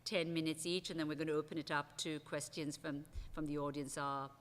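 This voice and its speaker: A woman's voice: low voice, level tone, a little fast. A woman chairing a conference panel from a table on a large stage, talking conversationally with frequent restarts. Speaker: session chair. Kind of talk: panel moderation at an academic conference. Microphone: table microphone. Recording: long reverb of a large hall.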